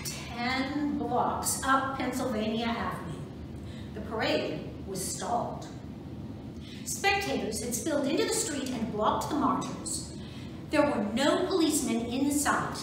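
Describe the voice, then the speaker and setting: A proud suffragist organizer played by an amateur woman reader declaims in a high, lively voice at a measured pace. It is a stage reading captured from far off in a very echoey room. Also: noisy background. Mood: dismayed. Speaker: volunteer reader in a museum reading play. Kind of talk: amateur reader's theatre monologue.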